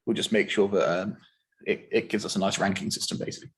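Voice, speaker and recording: A man hosting an online interview, explaining a tournament in a relaxed, filler-heavy way. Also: fairly low pitch, fast talker, slightly rough. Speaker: host.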